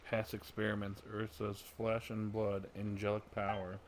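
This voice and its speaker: A reserved, thoughtful man from a fantasy video game, played by an actor. The voice is low and flat, with restrained, pondering delivery. Faint ambience is heard behind it. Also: moderate pace, slight background noise, lightly acted. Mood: pensive.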